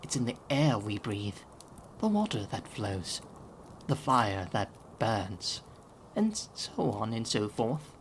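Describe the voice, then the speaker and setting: A man voicing a regal, gentle owl demon prince in an ASMR audio roleplay, speaking softly and theatrically and slipping into whispers. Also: fairly low pitch, very animated, slow pace, a little hiss or hum, heavily acted. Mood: gently lecturing. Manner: soft-spoken, theatrical, drifting into whispers. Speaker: voice actor in fan audio roleplay.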